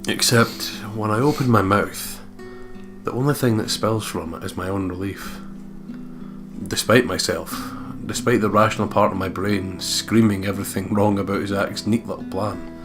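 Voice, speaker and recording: Guy with a low voice doing a visual novel lets play, guitar music going behind him. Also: steady tone, moderate pace. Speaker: let's play host. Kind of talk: let's play: reading a visual novel aloud with banter. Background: guitar music from the game.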